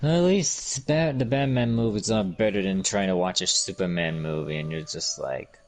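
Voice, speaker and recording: A man's voice: low voice, normal speed. A man in casual chat with a friend, answering with short, dry counterpoints.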